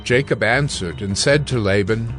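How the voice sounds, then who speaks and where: A man narrating an audio Bible in a measured, storytelling manner. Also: on the low side, a bit bright, faint music behind.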